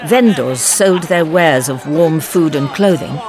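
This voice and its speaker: A man giving measured, scripted audiobook narration. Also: fairly high pitch, crowd noise. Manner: measured storytelling.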